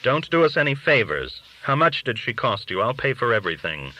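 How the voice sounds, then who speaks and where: Man voicing reformed male lock-picker, audiobook dialogue, blunt, proud, curt, bright forceful tone. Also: medium pitch, sing-song, brisk, rough recording. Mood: firm, indignant.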